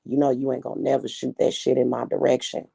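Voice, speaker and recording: A woman in her forties from Kansas City, with a Midwestern accent and a mellow, warm tone. She chats with viewers in a loose, slangy, outspoken way and often rambles on. The sound is dry and close, with little room reverb. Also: very deep voice, monotone, fast, gravelly, slightly rough. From Kansas City, Missouri. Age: 40s. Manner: rambling, slangy, frank, answers viewers directly. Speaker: livestream host reading chat. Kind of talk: casual chat.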